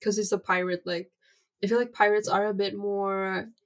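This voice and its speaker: A woman co-hosting a podcast in casual chat, speaking in a monotone with short replies. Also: mid-range voice, normal speed. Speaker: podcast co-host.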